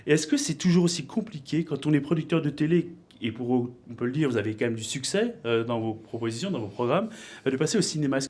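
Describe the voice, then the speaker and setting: A man's voice: medium pitch, lively intonation, fast, darkish tone. A man hosting a conversational radio interview, attentive as he puts questions to his guest. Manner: attentive, frequent short backchannels.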